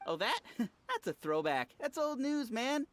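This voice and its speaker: A trend-following young townsperson in a video game. The delivery is casual and dismissive, swinging widely in pitch. The character likes to show off. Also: very animated, a little slow, fairly bright tone, exaggerated voice. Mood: dismissive, smug.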